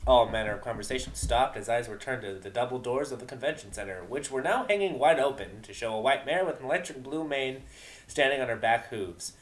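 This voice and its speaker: A man's voice: medium pitch, fairly quick, rough recording. A man narrating a story in an amateur dramatic reading.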